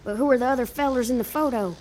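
An actress playing a warm, plucky, down-at-heel old woman with a folksy manner in a period audio drama. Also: on the high side, racing, a little hiss or hum, natural voice. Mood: gentle, curious. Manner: folksy, colloquial, affectionate.

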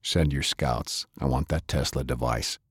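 A man voicing a ruthless commanding villain in an audiobook, giving terse, hushed orders. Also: extremely low pitch, fairly even intonation, a little slow, slightly rough, dry recording, studio quality, voice pushed down, heavily acted. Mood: cold, commanding. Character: ruthless, commanding villain leading armed raiders.

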